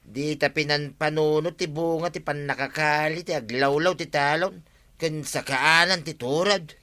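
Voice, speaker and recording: A man's voice: a little high, fairly even intonation, unhurried. A man with an Ilocano accent playing a distressed villager husband in a radio comedy drama. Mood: rueful. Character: distressed villager husband whose home and field collapsed. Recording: noisy radio drama with loud ambient effects.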